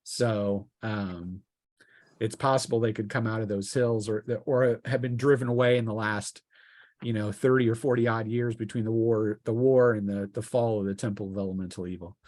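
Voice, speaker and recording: A man running a tabletop game as game master, improvising narration in a halting, piece-by-piece way. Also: fairly low pitch, steady tone, darkish tone, no room sound. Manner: halting, piecing descriptions together aloud.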